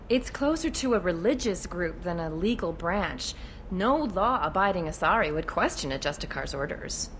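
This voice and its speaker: A woman's voice: fairly low pitch, a little hiss or hum, lightly acted. A woman playing a wary alien police officer in a video game, explaining matters frankly with slight exasperation. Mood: exasperated, firm.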